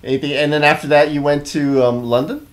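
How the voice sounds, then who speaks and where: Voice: medium pitch, steady tone, fast talker. Hesitant interviewer asking questions. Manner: hesitant, many fillers and restarts. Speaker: interviewer host.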